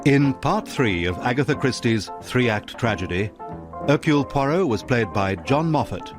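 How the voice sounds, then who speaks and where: A man announces a radio drama in a scripted, formal credits style. His voice sits at a middle pitch, with music playing clearly underneath. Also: lively intonation, normal speed.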